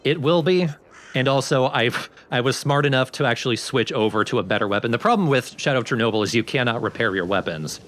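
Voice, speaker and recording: A man's voice: mid-range voice, expressive, fast talker, fairly bright tone. A man giving casual, explanatory gameplay commentary in an informal way. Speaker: let's play host and player.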